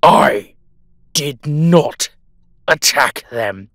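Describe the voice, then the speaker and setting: A man playing a cold, ancient, menacing monster in an audio drama, drawing out his threats slowly. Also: a little high, lively intonation, background noise. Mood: cold menace. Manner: slow, drawn-out, threatening delivery.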